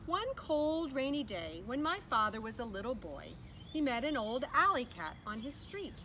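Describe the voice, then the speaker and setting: High-pitched, animated woman reading a children's story. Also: moderate pace, slight background noise. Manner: expressive, addressing young listeners. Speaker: storytime reader. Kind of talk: animated children's read-aloud narration.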